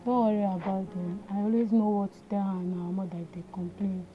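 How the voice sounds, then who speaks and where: An actress playing a young pregnant woman who pleads in hushed tones and tearful cries. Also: medium pitch, steady tone, fairly quick, natural voice. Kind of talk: Nollywood-style skit acting. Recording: muffled audio with loud music.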